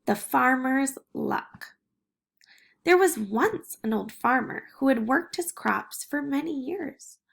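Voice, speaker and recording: A woman reading a children's picture book aloud in an expressive way. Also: high-pitched, slow pace, creaky, breathy edge. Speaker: storybook reader.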